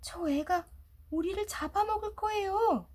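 A woman's voice: extremely high pitch, a little slow, voice pushed up. A woman voicing a feisty, suspicious young girl rabbit in a storybook, grumbling and indignant. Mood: alarmed, indignant. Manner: indignant, tattling, shouting. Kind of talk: storybook character voice.

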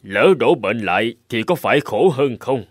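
A man voicing a kindly, upright Buddhist monk with a formal, earnest, courteous manner in an animated folk tale. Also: medium pitch, moderate pace, breathy edge, no room sound, studio quality. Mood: caring.

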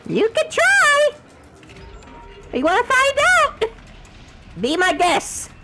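A woman's voice: extremely high pitch, wildly swooping pitch, slow, pushed and bright, faint music behind. A woman doing playful gameplay commentary in character, with sing-song, theatrical exclamations. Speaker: gamer playing in character.

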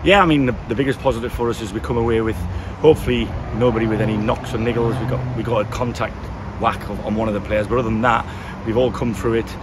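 A middle-aged man speaks frankly in a post-match interview, circling back and restarting phrases as he works out his thoughts. He is recorded outdoors by a football pitch with heavy wind noise and distant traffic behind him. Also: fairly even intonation, quick pace. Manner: frank, thinks aloud with repeated restarts. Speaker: football manager.

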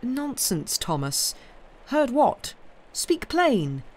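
Woman playing a pompous gentleman master, brisk, scolding, storybook reading. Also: a little high, very animated, pitched above the performer's own voice, full character voice.

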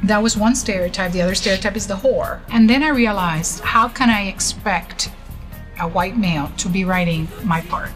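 A middle-aged woman from Puerto Rico, a writer, speaking in an interview in an office, with lively, expressive storytelling. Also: mid-range voice, normal speed. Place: indoor office. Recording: music playing underneath.

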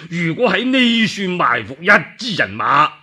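A Cantonese-speaking man voicing a cornered warlord chancellor in a storytelling radio play, boastful and wheedling, with theatrical drawn-out phrasing. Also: sits high, very animated, sharp tone, husky, exaggerated voice. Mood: scornful, smug.

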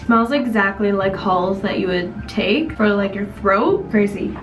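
A woman's voice: medium pitch, a little slow, background noise. A nineteen-year-old woman from Canada in a casual, upbeat vlog, a bit sniffly and off-voice from a cold, full of "like" fillers, filmed in her bedroom on a small camera. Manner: chatty, upbeat, lots of "like" fillers. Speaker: vlogger. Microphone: compact camera on a desk at close range.

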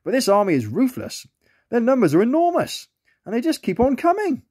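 A man's voice: very high-pitched, wildly swooping pitch, brisk, a little mellow, husky, dry recording, studio quality, pitched above the performer's own voice, full character voice. A man voicing a small, friendly, playful male sea otter in a children's storybook reading. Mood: worried.

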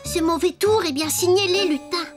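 A woman's voice: very high voice, moderate pace, soft background music. A woman voicing a cheerful, polite little boy in a cartoon, earnest and perky. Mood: bright, determined. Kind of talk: cartoon dubbing.

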